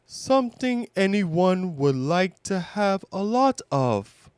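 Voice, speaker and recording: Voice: mid-range voice, sing-song, slow, darkish tone, smooth, no room sound, voice pushed up. A narrator voicing a pompous, learned male owl who explains things, in a children's storybook.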